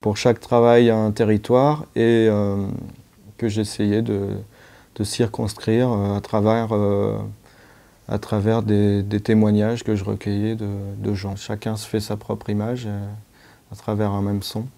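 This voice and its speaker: A man who is a sound artist, speaking in a low-key, halting, thoughtful way in a documentary interview. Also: flat delivery, creaky, mellow.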